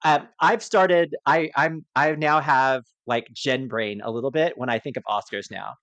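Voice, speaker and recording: A man speaks as a panelist in a casual film discussion. He reasons aloud in a halting, repetitive way, with an average-pitched voice and a dry, echo-free recording. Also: a bit bright. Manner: halting, repeats words as he reasons.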